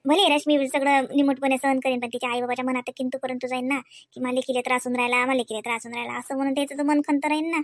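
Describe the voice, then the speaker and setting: A woman's voice: sits high, little pitch movement, racing, dry recording. A woman playing an earnest sister-in-law in a cartoon, coaxing and preaching in a Vidarbha Marathi accent with frequent questioning tags. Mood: pleading, reassuring. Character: earnest, persuasive sister-in-law counselling a young man. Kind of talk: cartoon dialogue in rural dialect.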